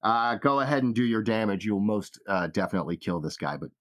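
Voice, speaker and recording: A man's voice: fairly low pitch, animated, fairly quick. A man running a tabletop fantasy game as game master, narrating the action.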